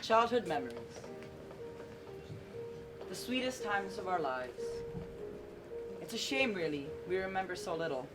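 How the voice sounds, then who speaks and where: Young woman as wistful caretaker-guide, distant, loud music. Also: on the low side, very animated, unhurried, long pauses. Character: wistful caretaker guiding audience between apartments. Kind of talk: amateur stage narration.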